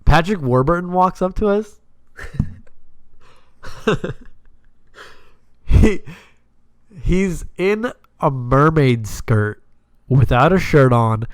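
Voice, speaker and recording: Guy doing podcast storytime, mid pitch, super casual n sweary, animated, really dry close sound. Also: no room sound. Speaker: podcast host. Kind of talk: casual personal storytelling, acting out dialogue.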